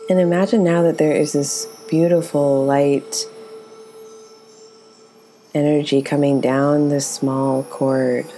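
A woman leading a guided meditation as its teacher, speaking in a gentle, soothing, unhurried way. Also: low-pitched, steady tone, soft background music.

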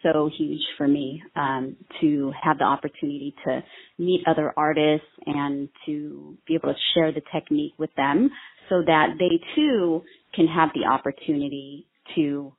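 A woman in her thirties from Vietnam answers interview questions, earnest and hesitant, with many ums. Her voice sits low and a little husky. The sound is thin and tinny, like a phone line. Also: moderate pace. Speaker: microblading artist and trainer.

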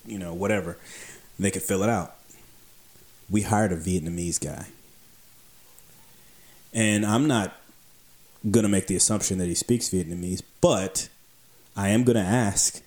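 A man with a somewhat low voice spins a casual workplace anecdote. He acts out each exchange, voicing the other people as he goes. His delivery is animated and conversational. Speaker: beer delivery storyteller.

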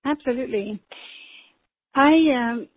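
A woman, speaking as a guest in a podcast interview, measured with frequent ums and restarts. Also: a little high, no room sound. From Sweden. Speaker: pharma executive guest. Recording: tinny phone-like line.

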